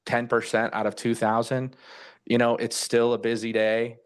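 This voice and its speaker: A man's voice: mid-range voice, completely flat delivery, normal speed, clean tone. An older American man, a retired expert, giving unscripted answers in an interview. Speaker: retired air traffic controller, expert interviewee.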